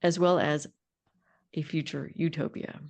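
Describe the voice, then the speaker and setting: A woman's voice: deep voice, fairly even intonation, unhurried, vocal fry, a little mellow, lo-fi sound. A middle-aged woman co-hosting a podcast in a conversational way, with a wry, emphatic and opinionated manner. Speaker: podcast co-host.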